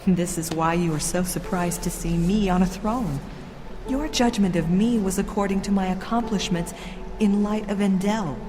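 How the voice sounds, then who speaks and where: A woman playing a serene, solemn royal ruler of a heavenly city in an audio drama, speaking in a measured, formal, instructive way. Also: mid-range voice, close to an everyday voice. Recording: long hall reverb with music behind.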